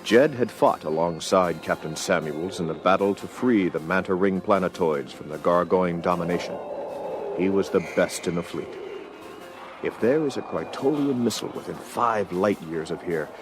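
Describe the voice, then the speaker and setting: Deep-voiced male dramatic story narrator. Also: normal speed, over music. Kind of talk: dramatic scripted story narration for audio adventure record.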